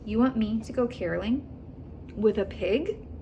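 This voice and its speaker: A woman's voice: on the high side, a little slow, dark tone, highs cut off, a little hiss or hum. A woman voicing a grumpy, stern woman neighbor in a storybook. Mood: disdainful.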